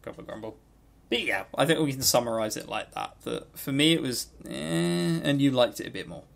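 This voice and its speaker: A man's voice: mid-range voice, expressive, moderate pace, gravelly, fairly bright tone. A man co-hosting a podcast, talking casually and informally, with opinionated but hesitant delivery. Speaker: podcast co-host. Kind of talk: casual game review chat.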